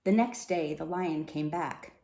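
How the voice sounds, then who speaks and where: A woman reading a children's storybook aloud with expressive, dramatic delivery. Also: low-pitched, a little slow. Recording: roomy, echoing room sound.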